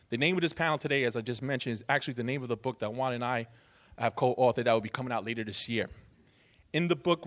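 A man moderating a conference panel, speaking earnestly with some restarts as he introduces the topic and the first guest. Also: medium pitch. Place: conference hall.

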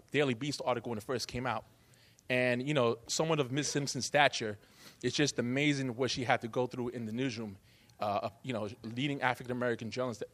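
Fast-talking male panel moderator. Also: mid-range voice, fairly bright tone. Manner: earnest, some restarts and stumbles. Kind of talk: conference panel introduction. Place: conference hall.

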